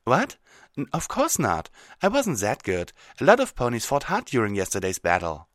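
A man's voice: medium pitch, huge pitch swings, moderate pace, no echo, studio quality, voice pushed up. A man voicing an earnest, sensible female unicorn mage from a cartoon, speaking articulately. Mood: modest, flustered.

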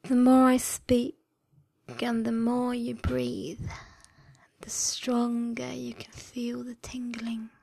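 Very slow whispering woman, breathy and close. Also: a little high, completely flat delivery, no echo. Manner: hushed, deliberate, soothing, commanding. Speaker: erotic hypnosis audio performer. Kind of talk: scripted erotic hypnosis ASMR.

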